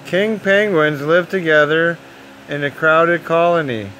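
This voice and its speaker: A man narrating a children's nature story aloud in simple, deliberate phrases, as if at bedtime. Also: fairly high pitch, fairly bright tone, slight background noise, rough recording.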